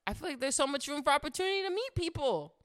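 A woman's voice: high-pitched, big pitch swings, quick pace. A woman co-hosting a podcast, chatting casually with friends. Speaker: podcast co-host. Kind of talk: casual group chat.

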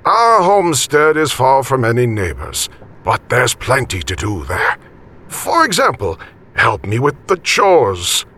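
A man voicing a jovial, teasing elderly grandfather from the country in an audiobook story, with a raspy, folksy delivery. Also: mid-range voice, a little slow, pitched above the performer's own voice, full character voice. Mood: quipping. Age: older. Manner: animated, folksy, encouraging.

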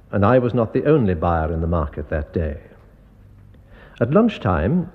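Low, husky, calculating English murderer. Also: male voice. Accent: educated English. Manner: measured, precise, detached. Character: cool, calculating upper-class English murderer narrating his confession. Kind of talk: classic British radio drama narration. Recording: muffled, long reverberant radio flashback effect.

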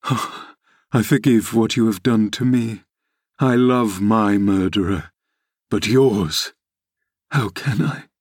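A male narrator acts a passionate, brooding lover from a classic novel. His voice is fairly low, earnest and tormented, with a fierce intensity. The recording is dry and close. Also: slow, long pauses, darkish tone, dry recording. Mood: anguished. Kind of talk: dramatised classic novel reading.

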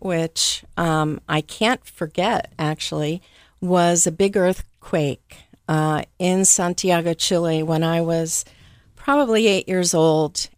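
A middle-aged woman answering interview questions about her life and work, speaking softly and thoughtfully with frequent pauses. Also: lowish voice, clean tone, dry recording, studio quality. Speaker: interview guest, travel business owner. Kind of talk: conversational interview.

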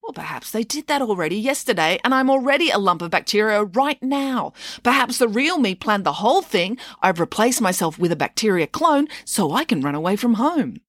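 A woman's voice: medium pitch, very animated, brisk, a bit bright, no room sound, clean studio sound, pitched above the performer's own voice. A woman voicing a sceptical, argumentative girl talking back to her mother in a children's story. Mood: defiant. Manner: sceptical, retorting. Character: cheeky, sceptical daughter, argumentative young girl.

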